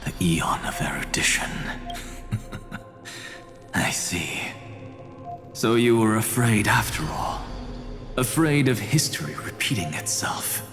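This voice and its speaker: A proud, defiant scholar from a video game, taunting and deliberate. His lowish voice swings dramatically in pitch. It rings in a long hall reverb. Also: big pitch swings, music in the background. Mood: dawning, scornful realization. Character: proud, sharp-witted male scholar defying his enemy.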